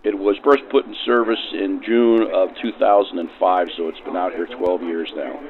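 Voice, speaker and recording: A man with a fairly low voice explains things in an interview as a rail car restorer. The sound is thin, like an old radio. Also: fairly even intonation, moderate pace, narrow, tinny audio. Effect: vintage radio filter. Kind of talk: informative interview answers.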